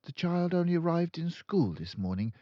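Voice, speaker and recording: An earnest, anxious young schoolmistress from a children's story, played by a man. The voice sits high and moves up and down as she politely insists. The sound is dry and a little dull. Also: sing-song, moderate pace, no room sound. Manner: pleading, polite but insistent. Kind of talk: children's audiobook character voice.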